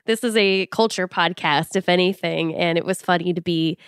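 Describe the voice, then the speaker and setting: Clear, smooth-voiced woman co-hosting, lightly amused. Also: fairly low pitch, normal speed, no room sound, clean studio sound. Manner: amused, agreeable, often chuckling. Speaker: podcast co-host. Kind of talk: casual chat.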